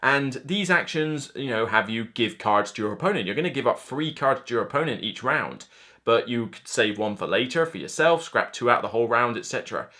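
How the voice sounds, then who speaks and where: A man in his thirties giving a casual, unscripted board game review to camera, chatty and animated, acting out imagined reactions. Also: mid-range voice, fairly quick. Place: indoor room lined with shelves of board games.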